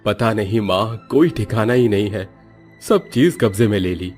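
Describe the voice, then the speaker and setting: A man's voice: on the low side, animated, moderate pace, darkish tone, faint music behind, close to an everyday voice. A man playing a worried, earnest businessman husband fallen on hard times in a family story. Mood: distressed. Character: worried businessman husband who lost everything.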